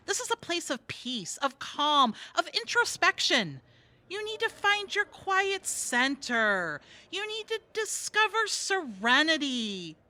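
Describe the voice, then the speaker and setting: Brassy, sarcastic streetwalker, high-pitched. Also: female voice, bright, forceful tone, dry recording, exaggerated voice. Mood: mock serene. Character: brassy, sarcastic streetwise woman prostitute, jaded jail regular. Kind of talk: audio theatre comedy acting.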